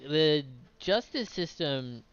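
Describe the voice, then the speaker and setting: Guy cohost, smooth clear voice, easygoing and a bit halting, dry slightly dull audio. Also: a little high, big pitch swings, no room sound. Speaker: podcast co-host. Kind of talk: casual podcast chat.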